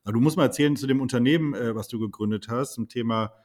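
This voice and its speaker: A man's voice: mid-range voice, racing, a little mellow. A man hosting a podcast, asking long, admiring questions with fillers and small restarts. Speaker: podcast host. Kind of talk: conversational podcast interview.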